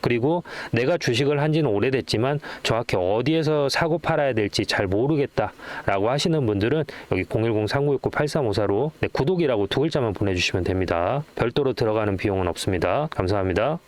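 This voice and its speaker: Animated middle-aged man, chatty stock commentary. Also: mid-range voice, quick pace, few pauses. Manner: chatty, rambling, poses questions and answers them himself. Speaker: stock channel host. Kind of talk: casual off-the-cuff stock commentary.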